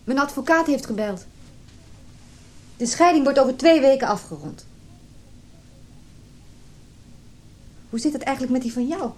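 Radio play casting: needy, insecure woman in love, highish lively voice, pressing for commitment. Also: fairly quick. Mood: casual, probing. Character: insecure, devoted woman craving commitment from her lover. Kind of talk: radio drama acting.

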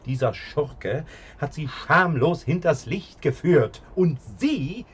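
Husky, indignant captive detective. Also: male voice, on the high side, expressive, slow pace, heavily acted. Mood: insistent, pleading. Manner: urgent, argumentative, emphatic. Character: indignant, insistent male detective held as a prisoner. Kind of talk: 1960 German radio crime drama acting.

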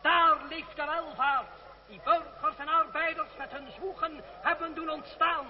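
An actor playing a fiery young French revolutionary, giving declamatory, impassioned speeches to townsfolk in a radio drama. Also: male voice, very high-pitched, fairly even intonation, measured pace. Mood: rousing. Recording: dull lo-fi radio recording, noisy crowd. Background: crowd noise.

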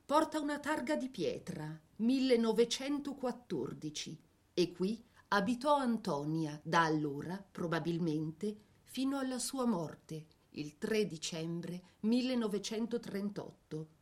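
A woman narrating a scripted biographical drama in an expressive, measured storytelling manner. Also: mid-range voice.

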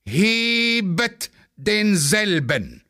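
Man putting on a pompous, high-pitched schoolteacher. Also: very animated, extremely slow, sharp tone, exaggerated voice. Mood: lecturing. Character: pompous, scolding male schoolteacher in wartime Vienna. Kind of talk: satirical radio play reading.

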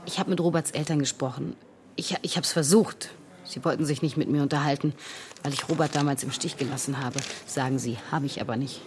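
Actress as grieving young woman, lowish voice, halting, pleading, insistent, naturalistic radio drama. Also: steady tone, darkish tone, close to an everyday voice. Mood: hurt, pleading. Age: young adult.

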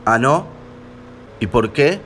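An actor playing an arrogant young Argentine stage performer in a radio drama, exasperated and scornful. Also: male voice, mid-range voice, expressive, takes it slowly, a bit bright, a little hiss or hum. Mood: indignant. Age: young adult. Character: arrogant, irritable young male actor in touring troupe. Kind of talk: radio theatre acting.

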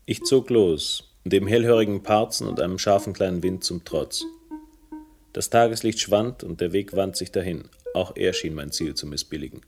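An actor playing a wry first-person hero who narrates a German radio crime drama with dry irony. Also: male voice, deep voice, moderate pace, a little mellow, faint music behind. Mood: wry, brooding. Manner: dry, ironic.

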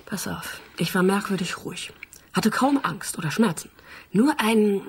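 An earnest esoteric dreamer in a radio drama. She speaks conversationally and insistently in a husky, mid-pitched voice. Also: expressive, moderate pace. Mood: entranced. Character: earnest esoteric woman recalling past lives. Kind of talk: German radio drama acting.

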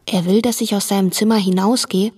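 An actress playing a dutiful, watchful young woman who narrates a radio drama in a husky voice. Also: mid-range voice, fairly even intonation, a little fast, a little mellow, lightly acted. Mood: hushed, obedient. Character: dutiful, watchful girl confiding what she sees. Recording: music behind the voice.

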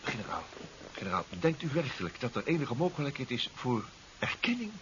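An actor playing a formal, sceptical inventor professor in a 1970s radio drama, speaking wordily and haltingly. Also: male voice, mid-range voice, very animated, close to an everyday voice. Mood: wary, formal. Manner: formal, wordy, halting with repeated words. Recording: dull, hissy old radio recording.

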